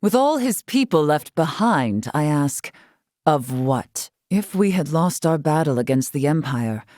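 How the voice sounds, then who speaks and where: A woman voicing a solemn, dutiful warrior stateswoman in a video game, speaking in formal, measured, old-fashioned phrasing. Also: deep voice, big pitch swings, a little mellow, dry recording, clean studio sound. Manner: formal, measured, archaic diction. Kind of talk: scripted video game character dialogue.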